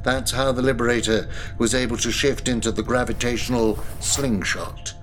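An actor playing a cold, calculating starship crewman in a science fiction audio drama, measured, curt and authoritative. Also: medium pitch, steady tone, a little hiss or hum, lightly acted. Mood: cool, measured.

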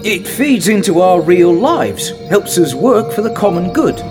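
A man acting in an audio drama as an earnest, idealistic citizen, explaining in a high-minded way. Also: expressive, unhurried. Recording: music under drama dialogue.

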